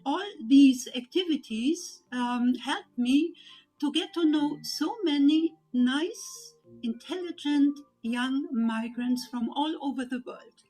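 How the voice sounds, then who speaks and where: An older woman with a German accent speaks in a high voice, calm and measured, with small pauses and fillers as she gives a personal testimony. Soft music plays faintly underneath. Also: rough recording. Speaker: volunteer.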